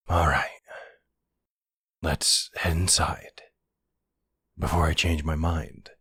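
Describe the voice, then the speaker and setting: Man acting as nervous boyfriend, very low pitch, heavily breathy and husky, affectionate, hesitant, intimate roleplay. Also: no echo, clean studio sound, exaggerated voice. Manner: hesitant, gently teasing.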